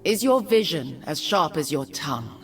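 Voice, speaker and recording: An actress playing a poised, regal noblewoman in a video game, speaking formally in cool, measured phrases. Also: low-pitched, big pitch swings, far from the mic, soft background music. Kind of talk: video game character voice lines.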